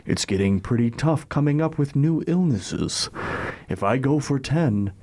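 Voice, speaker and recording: Man impersonating a sly teen skipper, slow, husky, conspiratorial. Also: medium pitch, expressive, warm tone. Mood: scheming. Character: scheming teenage boy faking sick to skip school. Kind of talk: movie monologue impression.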